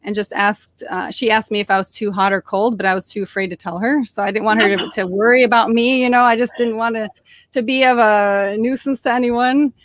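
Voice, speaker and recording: Young adult woman, podcast guest, cheerful storyteller, fairly high voice, close and dry. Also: steady tone, a little fast, no room sound. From born in Norway, raised in suburban Detroit, Michigan. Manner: chatty, cheerful, with frequent fillers and restarts. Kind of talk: casual interview storytelling.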